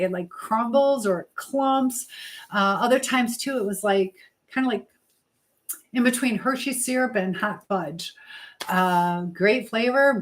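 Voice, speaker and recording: A woman's voice: mid-range voice, fairly bright tone. A woman hosting a casual live chat, rambling and trailing off mid-thought as she talks to viewers and reads their comments. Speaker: live stream host.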